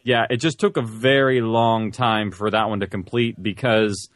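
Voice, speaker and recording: A man's voice: on the low side, steady tone, normal speed, dry recording. A man talking casually as a podcast guest, conversational and modest about his own work. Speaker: guest, audio drama creator. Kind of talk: casual interview chat.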